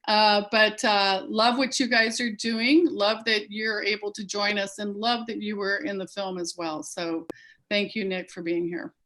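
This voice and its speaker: A woman's voice: medium pitch, fairly even intonation, measured pace, a bit bright. A woman who grew up in Peru, hosting and introducing a live-streamed panel over a video call, chatty and upbeat with frequent ums. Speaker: festival host.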